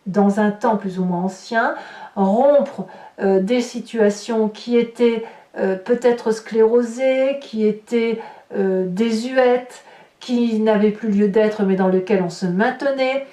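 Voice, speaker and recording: A woman's voice: on the high side, slow pace, dark tone. A woman giving a tarot reading to her viewers, searching for her words and often repeating them. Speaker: tarot reader and host. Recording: roomy, echoing sound.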